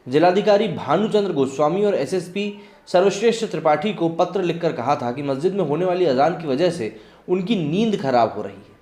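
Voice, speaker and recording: Echoey room, man, fairly high voice, news commentary, earnest explanatory delivery. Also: a little mellow. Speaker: host of a news commentary channel. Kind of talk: news report with personal commentary to viewers.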